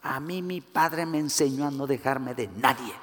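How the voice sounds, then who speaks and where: A man's voice: mid-range voice, normal speed, in a large hall. A man from Guatemala with a Guatemalan Spanish accent, preaching a sermon with personal stories and acting out the voices he quotes. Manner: animated, rises to emphatic peaks, acts out quoted voices.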